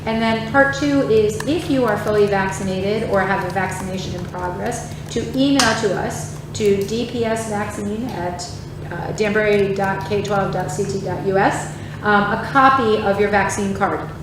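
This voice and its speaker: Loud woman far off in an echoey room. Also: medium pitch, normal speed, background noise. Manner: loud, chatty, laughs easily. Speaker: school administrator. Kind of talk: board meeting presentation. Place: large meeting room. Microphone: no microphone, speaking up.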